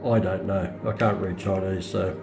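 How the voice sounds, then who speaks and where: A middle-aged man with an Australian accent giving a casual, unscripted product demonstration, pausing often as he goes. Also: sits low, fairly even intonation, creaky, music in the background. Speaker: hobbyist radio reviewer. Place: indoors on a hard countertop, small room. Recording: roomy echo, faint background noise.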